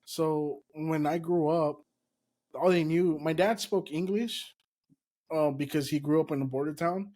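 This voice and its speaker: A man's voice: on the high side, fairly even intonation, measured pace, darkish tone. A man from California whose first language is Spanish, a comedian guest telling stories in casual chat and swearing casually. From California, USA.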